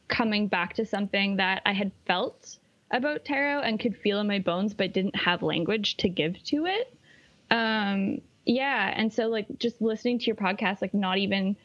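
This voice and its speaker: A woman guest on a podcast, her voice at an ordinary middle pitch, talking softly and earnestly with lots of "like." Her audio sounds dull and lo-fi, as if from a weaker mic. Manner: soft, earnest, heavy use of "like". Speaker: guest tarot reader. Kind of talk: casual interview conversation.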